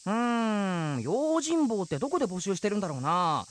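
A woman's voice: medium pitch, very animated, moderate pace, lightly acted. A woman playing a carefree, irresponsible young male samurai in a comedic audio drama, speaking in casual, boyish slang.

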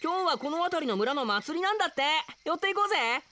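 A woman playing a carefree, friendly boy traveller with casual, rough boyish speech in a comic radio drama. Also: high voice, big pitch swings, quick pace, bright, forceful tone, full character voice. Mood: cheerful, eager.

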